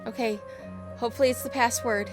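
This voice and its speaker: A woman's voice: fairly high pitch, fairly even intonation, fairly quick. A woman giving casual, playful, reactive commentary as she streams a game. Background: soft game music.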